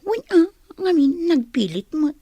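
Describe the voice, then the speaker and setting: A woman playing a giggly, smitten young woman in a Filipino radio drama, with an expressive, teasing delivery and a Filipino accent. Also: extremely high pitch, a little slow, no echo, exaggerated voice. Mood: giddy, teasing. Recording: radio drama with music underneath.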